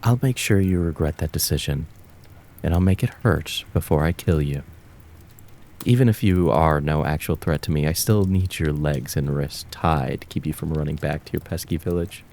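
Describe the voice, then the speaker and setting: A man voicing a cruel, taunting kitsune fox spirit of the woods in an ASMR roleplay, with drawn-out, mocking, menacing words. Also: a bass voice, darkish tone. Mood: cold, threatening.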